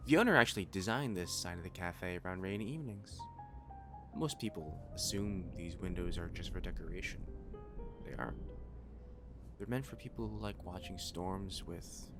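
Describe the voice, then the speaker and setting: A man acts out a composed, thoughtful catboy waiter in a cafe ASMR roleplay. His voice sits fairly low but moves expressively, gentle and reassuring, over clear background music. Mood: soothing. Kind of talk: ASMR roleplay, addressing the listener. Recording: music behind the voice.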